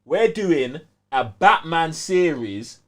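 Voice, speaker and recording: A man with a London accent chatting casually as a podcast host, excitable and slangy, repeating himself for emphasis. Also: a little high, takes it slowly. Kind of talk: animated casual fan chat.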